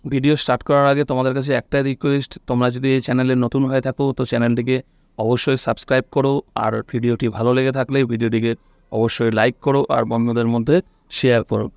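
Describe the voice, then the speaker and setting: A man's voice: medium pitch, flat delivery, fast talker, a little mellow, no echo. A man hosts a casual step-by-step phone tutorial, instructing and often checking that the listener follows. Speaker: tech tutorial host.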